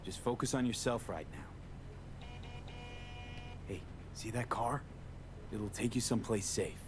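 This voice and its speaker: A composed mercenary guiding someone to safety, speaking in a steady, reassuring way. His voice sits on the lower side. Clear background noise runs under the game audio. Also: slow pace. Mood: calm. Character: calm male mercenary rescuer.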